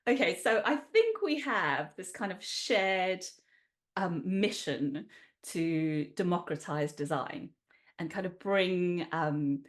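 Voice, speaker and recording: A woman's voice: lowish voice, lively intonation, takes it slowly. A woman hosting a podcast, reading an intro and then asking questions with frequent ums and kind ofs. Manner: warm welcomes, hedging with kind of and um. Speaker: podcast host. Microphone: podcast studio mic.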